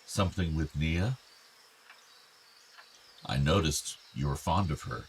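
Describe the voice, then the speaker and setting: A man's voice: very deep voice, slow pace, slight background noise. A man acting a meek, regretful older father in a naturalistic radio play, apologetic and hesitant. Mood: wistful, concerned. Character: regretful, meek father of two grown sons.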